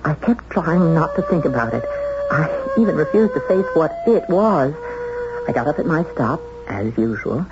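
An actress playing an earnest, long-suffering secretary who has turned bold, in 1970s radio drama style. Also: female voice, low voice, big pitch swings, normal speed, over loud music. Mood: indignant resolve. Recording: noisy, muffled 1970s radio recording.

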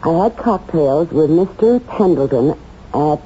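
An actress playing a nervous, excited woman reading an incriminating diary aloud in a 1970s radio drama. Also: low voice, unhurried. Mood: reading aloud intently. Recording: dull, noisy old radio recording.

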